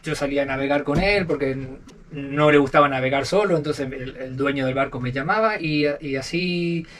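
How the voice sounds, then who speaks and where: A man with an Argentine accent telling stories as a podcast guest, talking in a halting way and repeating words. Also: a little high, a bit bright, far from the mic. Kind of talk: casual storytelling interview. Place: aboard a sailboat.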